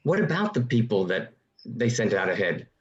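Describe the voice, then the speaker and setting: A man's voice: fairly low pitch, very animated, moderate pace. A man playing a probing suburban neighbor in a radio play. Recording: dull, lo-fi home recording.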